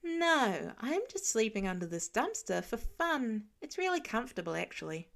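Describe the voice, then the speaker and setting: A woman's voice: fairly high pitch, sing-song, normal speed, creaky, fairly bright tone, heavily acted. A woman voicing a frightened, defensive teenage boy who stammers and snaps with bitter sarcasm. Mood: sarcastic. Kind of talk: audiobook fan reading.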